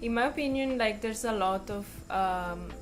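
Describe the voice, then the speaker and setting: A woman chats casually with her co-hosts, her speech full of "like" and "uh". Her pitch sits in the middle of the range, and soft music runs underneath. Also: moderate pace. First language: Italian. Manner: chatty, frequent "like" and "uh". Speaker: co-host of a language stream. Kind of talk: casual discussion on a live stream. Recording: faint music behind the talk.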